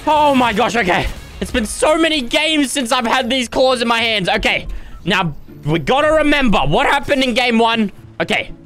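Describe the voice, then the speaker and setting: High, bright, frantic young gamer man. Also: lively intonation, normal speed, faint music behind. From Australia. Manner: loud, dramatic, squealing yelps and groans. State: starting to lose his voice. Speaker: gaming YouTuber. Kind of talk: excited gameplay commentary.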